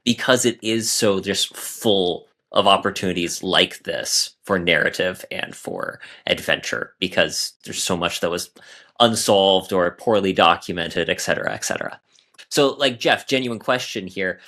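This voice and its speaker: A man's voice: sits low, a little slow, bright, forceful tone. A man in a casual podcast discussion, speaking in an enthusiastic, emphatic way. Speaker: podcast panelist.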